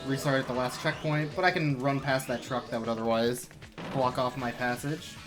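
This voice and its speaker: A man's voice: mid-range voice, fairly even intonation, moderate pace. Wry young man commentating a speedrun. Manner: wry, self-deprecating, explanatory. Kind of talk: casual gameplay commentary. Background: game music.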